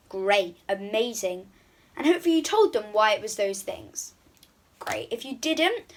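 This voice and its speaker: A woman talks to young children as their story host. Her voice sits fairly high and moves about in a lively way. She addresses her listeners directly and encourages them.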